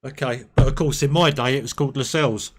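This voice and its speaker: A man's voice: mid-range voice, fairly even intonation, fast. A man hosting a casual game stream, chatty and jokey as he reminisces. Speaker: stream host.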